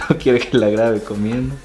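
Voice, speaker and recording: A man with a Mexican Spanish accent hosting a casual food vlog in a teasing, chatty way. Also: medium pitch. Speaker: host.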